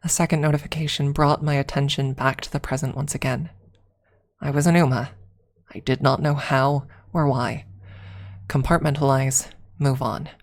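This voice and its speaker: A woman reading a first-person story aloud as ASMR, softly and deliberately, with dramatic pauses and some near-whispered phrasing. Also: very deep voice, steady tone.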